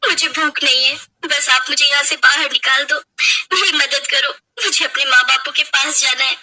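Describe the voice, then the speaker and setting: Woman acting a high-voiced kidnapped young bride. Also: fairly even intonation, moderate pace, pushed and bright, rough recording. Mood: weak pleading. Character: kidnapped young bride, defiant, pleading, compassionate doctor. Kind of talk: dramatic story acting.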